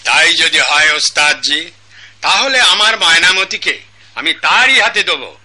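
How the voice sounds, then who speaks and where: A man's voice: on the high side, animated, unhurried, pushed and bright, full character voice. A man with a Bangladeshi accent playing a proud, imperious king in a radio drama, regal and declamatory. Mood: proud, genial. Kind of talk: Bengali radio drama acting.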